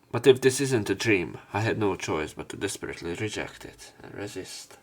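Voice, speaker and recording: Low-voiced man reading a visual novel. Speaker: let's player. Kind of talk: read-aloud game narration with casual commentary.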